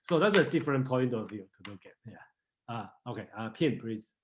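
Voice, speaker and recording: A man's voice: medium pitch, lively intonation, normal speed, slight background noise. A man from Taiwan leading a casual group discussion, often repeating words and saying okay while he thinks. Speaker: discussion leader.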